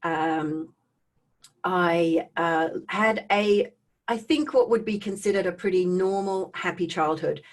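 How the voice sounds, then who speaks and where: A middle-aged woman with an Australian accent giving a personal talk to online viewers in a conversational way, with animated asides and checking in with her listeners. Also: lowish voice, takes it slowly. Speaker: speaker hosting a live online talk on trauma recovery.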